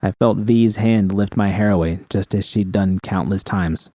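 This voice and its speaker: A man's voice: lowish voice, steady tone, moderate pace, warm tone, no echo. A man reading a scripted first-person story as an audiobook narrator.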